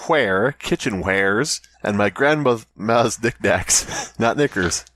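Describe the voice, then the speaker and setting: A man's voice: animated, slow, background noise. A man co-hosting a comedy podcast, reading a story aloud and breaking off to joke, swear and laugh. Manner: laughing, swearing, breaks into squeaky silly voices. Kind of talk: casual comedic reading with riffing.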